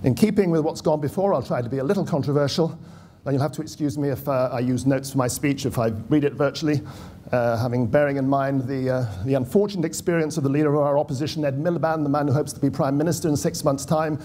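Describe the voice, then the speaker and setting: A man's voice: fairly high pitch, fast talker, warm tone, a little hiss or hum. A middle-aged British man, formerly a political correspondent, reading a prepared speech at a conference podium with dry, wry asides. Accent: British English. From United Kingdom. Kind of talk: prepared speech read from notes. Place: conference hall. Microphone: podium microphone.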